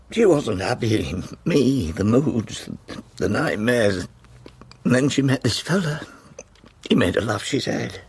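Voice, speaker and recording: An actor playing a guilt-ridden older father in a radio drama, with a rough, husky voice and halting, broken phrases. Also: mid-range voice, heavily acted. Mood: heavy regret. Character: haunted older father, guilt-ridden, burdened by childhood secret.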